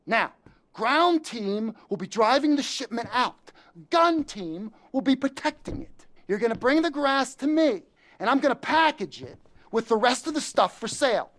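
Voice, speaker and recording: A jittery rambling drug dealer. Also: male voice, extremely high pitch, very animated, normal speed, a bit bright, exaggerated voice. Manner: rambling, nervous, wisecracking. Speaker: nervous accomplice in a crime crew.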